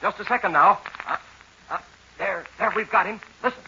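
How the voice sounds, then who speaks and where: An American man announcing and narrating a scripted 1940s radio serial, with emphatic, dramatic salesmanship pitched to youngsters. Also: moderate pace, sharp tone. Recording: dull old radio recording with faint noise.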